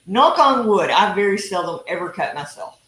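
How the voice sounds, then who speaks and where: A woman's voice: medium pitch, expressive, moderate pace, a bit bright, roomy sound. Chatty Texan woman cooking at home. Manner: chatty, teasing, laughs often. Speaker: cooking show host. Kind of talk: casual live cooking chat. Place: home kitchen.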